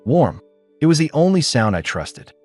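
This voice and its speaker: Audiobook alien heroine, young woman, soft and low but direct, raised pitch; voiced by a male narrator. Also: sing-song, normal speed, no echo, soft background music. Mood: soft, earnest.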